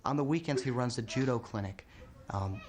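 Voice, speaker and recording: A man's voice: mid-range voice, a little fast, darkish tone. A man speaking in an interview, plain and matter-of-fact. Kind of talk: personal interview.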